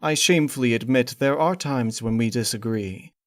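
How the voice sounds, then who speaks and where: A man's voice: medium pitch, moderate pace, no echo. Man narrating formally, very dry close sound. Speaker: narrator reading fan fiction. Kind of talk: scripted first-person horror story narration.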